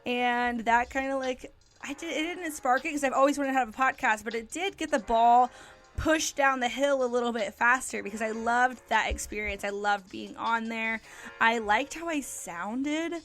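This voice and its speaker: A woman's voice: on the high side, normal speed, a bit bright, faint music behind. Chatty young woman hosting a podcast. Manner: chatty, upbeat, frequent ums and likes. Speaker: podcast host. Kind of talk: casual solo podcast chat.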